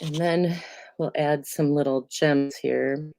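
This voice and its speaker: A middle-aged woman demonstrating card making, chatty and relaxed with many ums, still a little congested from a cold. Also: deep voice, takes it slowly, gravelly. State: congested, getting over a cold. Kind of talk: casual crafting tutorial.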